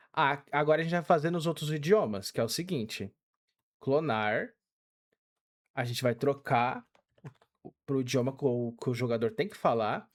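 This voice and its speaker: A young man with a Brazilian Portuguese accent, casually walking through a game tutorial step by step with many fillers. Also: mid-range voice. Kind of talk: casual screen-recorded tutorial.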